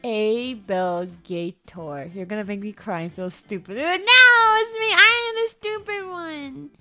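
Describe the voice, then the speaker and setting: A young woman chatting casually on a livestream. She rambles, repeats and stumbles over words, and her voice sometimes jumps suddenly into high squeaks. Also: unhurried, sharp tone. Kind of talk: casual stream chat. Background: faint background music.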